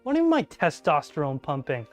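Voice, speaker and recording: A man hosting a casual live stream, talking through his network gear and reading chat aloud as he thinks out loud. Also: wildly swooping pitch, quick pace, soft background music. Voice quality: deep voice. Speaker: podcast host live streaming. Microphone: desk mic, level adjusted mid-stream.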